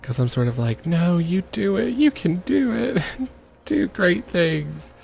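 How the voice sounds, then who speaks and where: A man commentating over gameplay in a mostly monotone voice, muttering urgings at the screen. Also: high voice, slow, gravelly. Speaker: gaming video creator.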